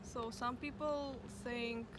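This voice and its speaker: A woman's voice: fairly high pitch, fairly even intonation, takes it slowly. A young woman from Kazakhstan, speaking in a plain, matter-of-fact way. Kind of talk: casual interview answers. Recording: noisy background.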